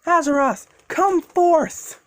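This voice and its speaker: An amateur narrator voicing an earnest, idealistic young male hero, pitched high and pleading in a spoken fan story. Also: lively intonation, unhurried, no room sound, clean studio sound.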